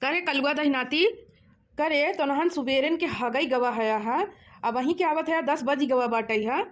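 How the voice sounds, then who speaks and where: A woman plays an exasperated village mother from a comedy cartoon. Her voice is high, with a rustic eastern Uttar Pradesh accent. She scolds and threatens with a sharp tongue. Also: measured pace. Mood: scolding. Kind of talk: comic cartoon dialogue.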